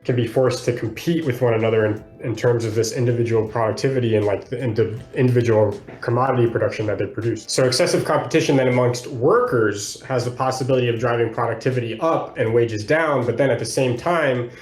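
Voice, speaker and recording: A man talks in a roomy, echoing space with soft music behind him. He is a podcast co-host who explains things casually, feeling his way through the phrasing at an ordinary male pitch. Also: quick pace, hardly any pauses. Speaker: freelance video editor. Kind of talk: casual book discussion, explaining. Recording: roomy echo, faint music underneath.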